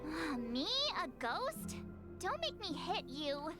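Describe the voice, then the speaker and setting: Bubbly teen anime girl from a game, super high perky voice, music playing under it. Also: sing-song, takes it slowly, full character voice. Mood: perky, indignant. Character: bubbly, plucky teenage girl adventurer. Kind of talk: anime-style video game voice acting.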